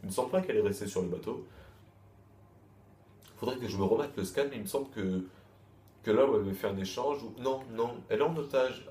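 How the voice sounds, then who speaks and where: A man's voice: mid-range voice, fast talker, long pauses, a little mellow. A man giving a casual, rambling review with many restarts and crude slang. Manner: loose, repetitive, with frequent restarts and crude slang. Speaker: manga chapter reviewer. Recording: echoey room, faint background noise.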